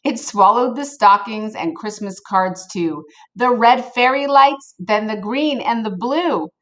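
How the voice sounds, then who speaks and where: A woman reading a picture book aloud to children in an expressive, playful way, with casual asides to her listeners. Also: fairly high pitch, unhurried. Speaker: storybook reader for children.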